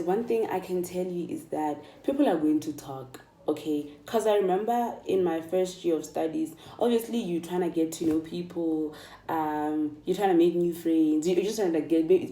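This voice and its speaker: South African woman, soft dark voice, echoey room. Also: fairly low pitch, moderate pace. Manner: emphatic, repeats phrases for stress, addresses listeners directly. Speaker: vlogger. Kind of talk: casual motivational chat to viewers. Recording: echoey room, distant microphone.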